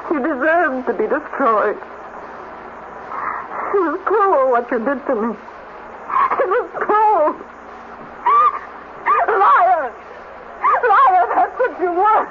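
A woman's voice: sits high, heavily acted. An actress playing a bitter, vengeful woman scientist, insistent and cutting, in a 1950s radio drama. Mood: vengeful fury. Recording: tinny, noisy 1950s radio recording.

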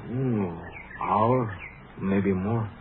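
An actor playing a nervous Mexican farmhand with a Mexican accent, stammering deferentially in a 1950s radio drama. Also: male voice, lowish voice, very slow. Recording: muffled, hissy old radio recording.

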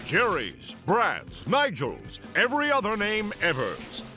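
A man's voice: sits high, wildly swooping pitch, slow, pushed and bright, soft background music, heavily acted. A man playing a bombastic, cheerful, muscle-bound cartoon superhero who lectures children in a theatrical, goofy, emphatic way. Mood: stern, emphatic. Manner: theatrical, emphatic, goofy public-safety preaching. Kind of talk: children's cartoon safety message.

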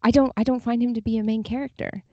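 A woman's voice: a little high, fairly even intonation, fast talker, dry recording. A woman talking reflectively and thoughtfully in conversation as an interview guest. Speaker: podcast guest, literary translator. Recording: slightly dull lo-fi audio.

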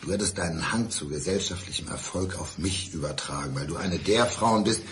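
Lonely blind divorced man , played by a male actor, radio drama: low-pitched, insistent, bitter and deliberate. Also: slight background noise, natural voice. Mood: wistful, reproachful. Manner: probing, brooding, deliberate. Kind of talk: German radio crime drama acting.

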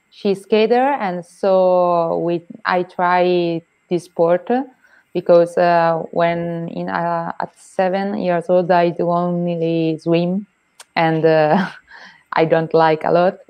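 A young Italian woman in her twenties speaks English slowly and hesitantly, with an Italian accent and plenty of fillers and shy laughs. Her voice is low for a woman, flat in pitch and smooth and clear. She speaks into an earphone mic on a video call. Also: muffled recording. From Italy. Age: 20s. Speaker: guest athlete.

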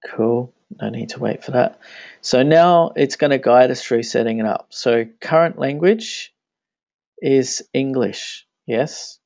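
A man with a gravelly edge to his mid-pitched voice talks casually through a software tutorial, narrating each click as he goes. He speaks English, though his first language is Danish. The recording is dry and dull, with mouse clicks behind him. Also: slow, no echo. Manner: casual, thinking aloud while clicking. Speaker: web tutorial instructor. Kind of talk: screencast tutorial walkthrough.

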